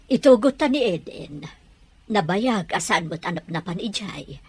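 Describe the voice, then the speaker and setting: A woman's voice: medium pitch, expressive, unhurried, slightly rough, no room sound. A woman voicing a caring older mother who gives practical instructions to her family. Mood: instructing. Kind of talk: amateur audio drama acting.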